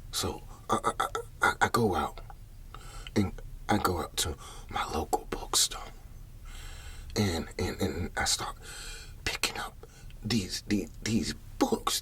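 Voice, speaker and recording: A man's voice: low voice, pushed and bright, heavily acted. Man acting a whispering, stammering, paranoid old country farmer. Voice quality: breathy whisper. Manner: halting, stammering, repeating words. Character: paranoid, troubled older Southern country farmer, rambling. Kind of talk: ASMR roleplay storytelling.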